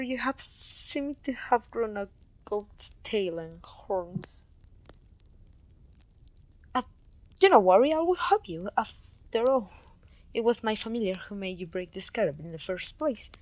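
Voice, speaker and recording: A woman voice acting a clumsy, kindly, apologetic witch in an ASMR fantasy roleplay, with a gentle, polite, slightly old-fashioned way of talking. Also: a little high, lo-fi sound.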